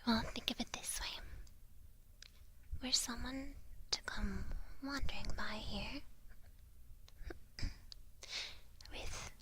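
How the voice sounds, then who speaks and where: A woman playing a sly witch who tutors an apprentice in a whispered ASMR roleplay, speaking slowly with soft, deliberate teasing. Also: on the high side, slight background noise. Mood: teasing, faintly menacing. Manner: slow, softly teasing, deliberate.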